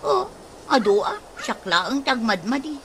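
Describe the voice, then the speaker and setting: An actress playing a gossipy, envious young daughter in an Ilocano radio comedy drama. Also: female voice, a little high, sing-song, a little slow, background noise.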